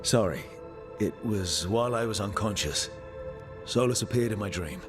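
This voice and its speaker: Actor as low-voiced, measured male adventurer leader. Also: lively intonation, lightly acted. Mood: matter-of-fact. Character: composed male adventurer leader, steady and pragmatic. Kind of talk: video game cutscene acting. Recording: game dialogue under clear background music.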